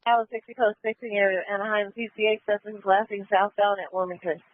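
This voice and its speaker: A woman's voice: mid-range voice, fairly even intonation, fast talker. A woman working as a police dispatcher, giving clipped, procedural calls over the radio and repeating instructions. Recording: band-limited tinny police radio.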